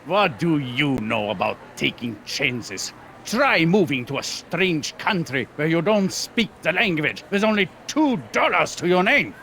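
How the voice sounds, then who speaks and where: Proud older immigrant dad, blustering, sharp bright voice, animated highish pitch, video game drama. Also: male voice, a little slow, vocal fry, a little hiss or hum, exaggerated voice. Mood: defiant. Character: stern, proud immigrant father, older man.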